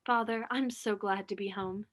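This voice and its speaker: A woman's voice: medium pitch, fairly even intonation, moderate pace, natural voice. A woman playing an affectionate young working daughter in a radio play.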